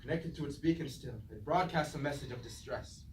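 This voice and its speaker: An actor playing a weary, noble old robot war leader in formal, declamatory stage-tragedy style. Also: male voice, mid-range voice, fairly quick, natural voice. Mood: urgent, commanding. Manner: formal, declamatory, archaic phrasing. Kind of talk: theatrical Greek-tragedy stage acting. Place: indoor room, staged reading at a university. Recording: distant, echoey, noisy room recording.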